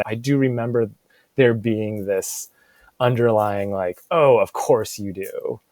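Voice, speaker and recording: An American man in his thirties chats casually and reflectively, often pausing to search for words and saying "like" a lot. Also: mid-range voice, a little mellow, no room sound. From Seattle suburbs, United States. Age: 30s. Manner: halting, searching for words, frequent "like". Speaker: podcast co-host, bookstore manager.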